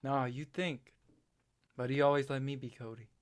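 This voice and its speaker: A man playing a haunted, self-doubting farm boy in a stage play, halting and plain-spoken. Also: medium pitch, fairly even intonation, smooth, natural voice. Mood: wistful.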